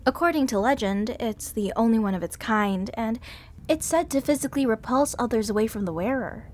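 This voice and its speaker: A woman's voice: on the high side, brisk, close to an everyday voice. A woman voicing a sweet, bookish female museum curator who earnestly explains legends in an audio roleplay drama. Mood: lecturing. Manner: earnest, lecturing at length on legends and history.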